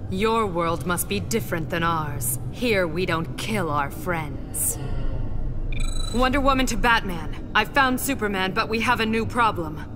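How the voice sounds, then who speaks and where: A woman's voice: mid-range voice, expressive, a little slow, over music, lightly acted. A woman playing a firm, composed female warrior superhero in a game cutscene.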